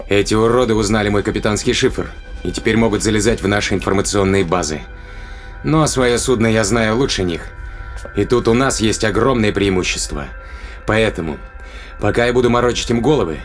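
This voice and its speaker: An actor playing a defiant, quick-thinking spaceship captain in a science fiction audio drama, terse, commanding and rough-tongued. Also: male voice, on the low side, close to an everyday voice.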